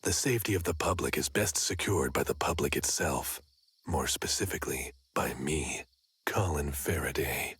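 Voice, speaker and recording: An actor playing a paranoid, self-important neighborhood watch man in a video game, raspy, terse and suspicious. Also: moderate pace, a bit bright, dry recording, full character voice. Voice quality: very deep gravelly rasp.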